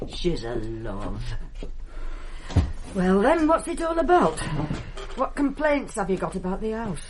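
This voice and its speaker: An actress playing a cantankerous, proud old British widow in a radio play, grumbling and blunt. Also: female voice, medium pitch, huge pitch swings, slow pace, pauses often, noisy background. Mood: grudgingly relenting. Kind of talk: radio drama acting.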